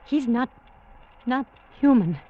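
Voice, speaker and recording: A woman's voice: a little high, animated, slow pace. A woman acting as a sheltered, fearful young island woman in old radio drama, with intense, formal, tremulous delivery. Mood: fearful, resigned. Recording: dull, slightly noisy vintage radio recording.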